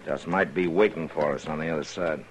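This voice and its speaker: An American actor playing a steady, determined Old West lawman in a 1950s radio western, terse and plainspoken. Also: rumbling low, fairly quick. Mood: wary, calm. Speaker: narrator and lead actor. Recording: noisy vintage radio recording.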